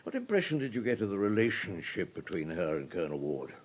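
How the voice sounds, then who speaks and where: An actor in a radio drama playing a police superintendent with a commanding, probing manner. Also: male voice, sits low, big pitch swings, quick pace, breathy edge, exaggerated voice. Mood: probing, measured. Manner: commanding, questioning, hesitant murmurs between orders. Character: authoritative male French police superintendent, brisk and probing. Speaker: detective superintendent.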